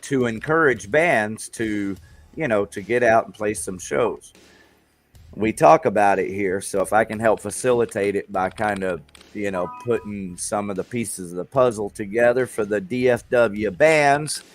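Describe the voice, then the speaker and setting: A man's voice: fairly low pitch, a little slow. A middle-aged man, hosting a local music show in a rambling, enthusiastic way. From Texas. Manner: rambling, enthusiastic, with fillers and restarts. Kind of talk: casual livestream chat.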